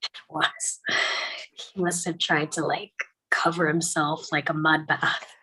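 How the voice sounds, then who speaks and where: A woman playing a grieving mother who recalls her young son, halting and emotional, in a dramatic table read. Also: deep voice, level tone, sharp tone, breathy edge, full character voice, low-quality recording. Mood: wistful, animated. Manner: halting, emotional, drops into whispers.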